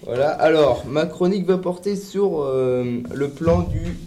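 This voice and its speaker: A man with a fairly high voice introduces light trivia in a joking, halting manner, as if chatting with friends. Clear noise sits behind him. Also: dark tone. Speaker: radio show columnist. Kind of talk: casual humorous trivia segment.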